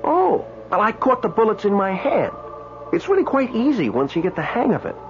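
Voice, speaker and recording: An actor playing a weary, tender dead man in a radio drama, speaking in a halting, wistful way. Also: sits high, wildly swooping pitch, heavily acted. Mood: casual, modest. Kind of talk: old-time radio drama acting. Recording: dull old radio recording with music.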